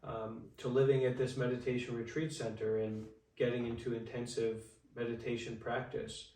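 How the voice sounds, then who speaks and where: A man in his twenties, telling his life story as an interview guest in a halting way, with many fillers and restarts. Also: mid-range voice, little pitch movement, darkish tone, a little hiss or hum. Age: 20s. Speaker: interview guest, Buddhist monk. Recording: echoey room, far from the mic.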